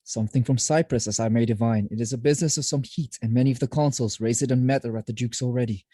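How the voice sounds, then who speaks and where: A man as a hurried, husky, mellow lieutenant. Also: medium pitch, steady tone, dry recording, natural voice. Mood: urgent. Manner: hurried, formal reporting. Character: urgent, dutiful male lieutenant bearing a summons. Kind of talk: Shakespearean audio drama acting.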